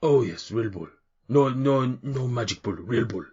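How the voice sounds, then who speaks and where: A man's voice: mid-range voice, expressive, a little slow, mellow, husky, lo-fi sound. A man voicing a cheery male half-orc bull keeper who talks in broken, muddled grammar.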